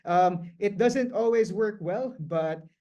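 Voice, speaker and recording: A man whose first language is Tagalog, giving a guest lecture in a loose, conversational way, often restarting phrases and filling pauses with "um" and "like". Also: sits high, warm tone, lo-fi sound. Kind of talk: explanatory lecture.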